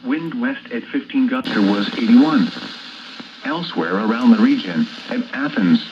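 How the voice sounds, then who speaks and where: A synthetic male announcer reading an automated weather bulletin in a formal, measured way, played through a radio speaker. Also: mid-range voice, lively intonation, muffled recording, background noise.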